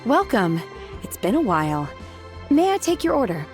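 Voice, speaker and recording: A woman voicing a calm, blunt young woman who works as a waitress and speaks in a plain, matter-of-fact, polite way, in a video game. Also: fairly high pitch, moderate pace. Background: game music.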